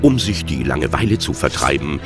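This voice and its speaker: A man's voice: very deep voice, very animated, a little fast, over music. A man narrating a children's audio drama as a scripted storyteller.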